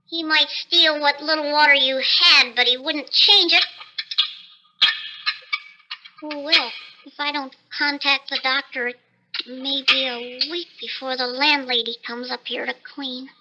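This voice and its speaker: A man's voice: very high voice, fairly even intonation, unhurried, pushed and bright, full character voice, rough recording. An actor playing a bitter, desperate shrunken research scientist in his thirties, theatrical and self-pitying in a 1950s radio drama, his voice artificially raised. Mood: anxious, wry. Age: 30s.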